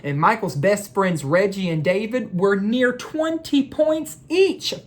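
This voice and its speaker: A woman educator presenting and reading a children's storybook aloud in a deliberate, measured way. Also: medium pitch, a little mellow. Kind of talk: storybook read-aloud with introduction.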